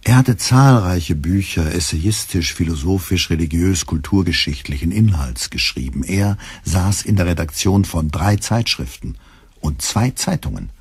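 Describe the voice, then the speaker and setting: A man narrates a literary radio drama in a calm, measured way. His voice is very low and husky. The sound is close and dry. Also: no echo.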